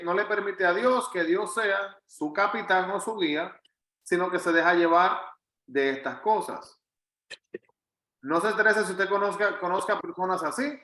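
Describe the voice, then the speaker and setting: A man's voice: sits high, bright, forceful tone, echoey room. A man with a Puerto Rican Spanish accent giving a conversational Bible teaching as a pastor, earnest and emphatic, repeating phrases for weight. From Puerto Rico. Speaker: pastor leading a Bible study.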